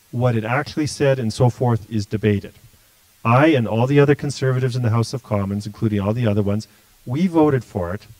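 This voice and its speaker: Blunt guy answering questions on a livestream, dry sound, mid pitch. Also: darkish tone, no room sound, rough recording. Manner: blunt, forthright, restarts often. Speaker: political candidate.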